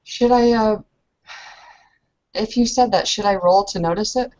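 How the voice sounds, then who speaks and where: A woman's voice: medium pitch, measured pace, a little mellow, lo-fi sound. A woman playing a tabletop roleplaying game, casually narrating what her character does.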